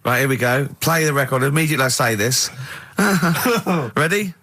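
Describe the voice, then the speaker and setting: A man's voice: medium pitch, moderate pace, bright, forceful tone. A man chatting casually and teasingly as a radio show host, on a noisy broadcast. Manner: jokey, teasing.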